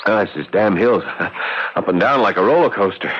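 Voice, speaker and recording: An actor playing an eager, smitten American doctor in a radio drama, with an American accent. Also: male voice, on the low side, expressive, normal speed, a little hiss or hum, lightly acted. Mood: flirtatious, amused. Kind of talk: radio drama acting and narration. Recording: dull radio recording, faint music.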